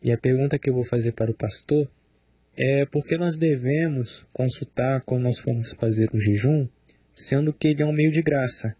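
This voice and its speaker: A man's voice: mid-range voice, normal speed, dark tone, slightly rough, no room sound. A man, a church member, formally asking the pastor a question. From Rio de Janeiro.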